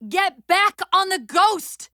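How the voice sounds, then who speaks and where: A female AI partner from a military sci-fi video game. Her voice is high and bright, recorded close and dry. She is brisk and urgent, snapping out tactical orders with a sardonic edge. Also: dry recording, heavily acted. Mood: urgent, alarmed. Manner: crisp tactical commands with dry wit.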